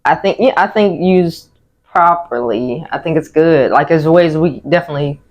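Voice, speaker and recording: A woman with a slightly deep voice, a singer as a podcast guest, talking calmly and thoughtfully with pauses. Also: dull audio. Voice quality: a little deep. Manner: calm, thoughtful, many pauses and fillers. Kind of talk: casual interview chat.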